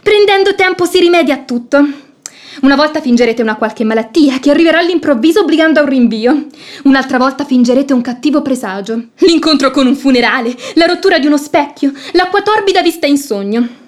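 A woman's voice: sits high, lively intonation, fairly quick. An actress playing a bold, meddling maidservant in a classic radio comedy, bossy and emphatic in her scolding. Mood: scheming, brisk. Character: bold, meddling, sharp-witted maidservant, exasperated peacemaker.

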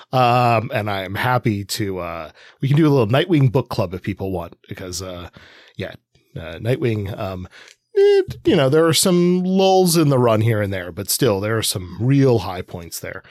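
A man's voice: fairly low pitch, very animated, moderate pace, no echo, clean studio sound. A man co-hosting a podcast, talking casually about comics and often stopping to qualify what he says. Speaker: podcast co-host. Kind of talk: casual conversational commentary on comics.

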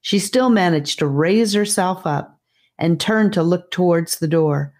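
Female audiobook narrator, low register, slow and measured reading of children's fantasy. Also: darkish tone. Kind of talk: scripted fantasy story narration.

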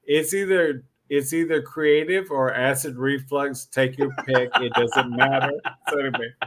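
A man's voice: medium pitch, fairly even intonation, measured pace, very noisy. A man hosting a podcast as a casual chat, effusive and joking, often repeating his phrases. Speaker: podcast host.

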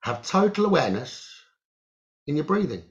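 Guy doing a guided meditation, slow short phrases w long gaps, pitch moves around a lot, kinda muffled lo-fi sound. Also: big pitch swings. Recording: muffled, dull lo-fi audio.

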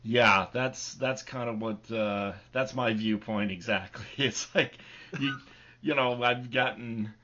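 A man's voice: mid-range voice, slow, bright, forceful tone, highs cut off, slight background noise. A man hosting a podcast in casual conversation. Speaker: podcast host. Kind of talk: casual chat.

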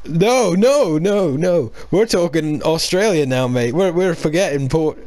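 A man's voice: a little high, expressive, unhurried, a little mellow, no echo. A man hosting a casual sports podcast, chatty and hesitant with lots of fillers and restarts. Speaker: podcast host. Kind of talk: casual chat.

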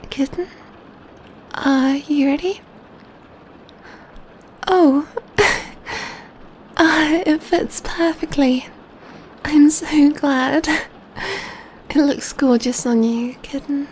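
A woman playing a gentle, doting female vampire in an ASMR roleplay, speaking softly and tenderly into a close mic, often near a whisper. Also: sits high, fairly even intonation, drawn-out words, long pauses, a little hiss or hum. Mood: doting, pleased. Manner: tender, cooing, soothing, often dropping to whispers. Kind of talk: ASMR roleplay, soft intimate speech.

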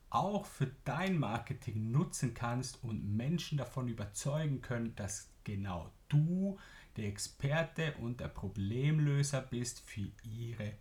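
A young man from Switzerland with a Swiss German accent, hosting a solo business podcast and explaining ideas with lively emphasis and occasional fillers. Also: medium pitch. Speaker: podcast host.

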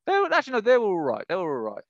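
A man's voice: high voice, huge pitch swings, racing, no room sound, highs cut off. A man chatting casually as a podcast co-host, rambling and jumping between thoughts. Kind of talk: casual chat about video game movies.

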